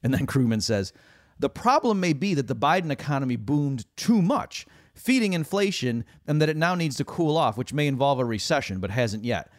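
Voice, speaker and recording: An animated man co-hosting a conversational talk show. His voice sits at an ordinary middle pitch, and he slips into quick put-on voices when he quotes others. The recording is dry and close, with no room sound. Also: no room sound. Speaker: co-host. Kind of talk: conversational podcast discussion.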